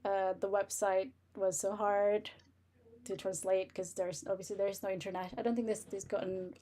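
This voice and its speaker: A woman's voice: mid-range voice, level tone, moderate pace, dark tone. A woman hosting a livestream in casual chat, often giggling as she talks. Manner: giggly, laughs often while talking. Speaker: livestream host.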